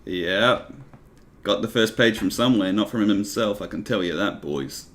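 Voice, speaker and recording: A man with a gravelly voice, chatting and teasing a friend drily during a gaming stream. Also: fairly low pitch, normal speed, a bit bright. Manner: dry, teasing banter. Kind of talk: casual gaming chat.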